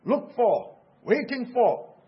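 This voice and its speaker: Husky high-voiced Singaporean preacher. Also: male voice, big pitch swings, slow, dull audio. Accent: Singaporean English. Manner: earnest, urgent preaching with rhetorical questions. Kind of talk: sermon.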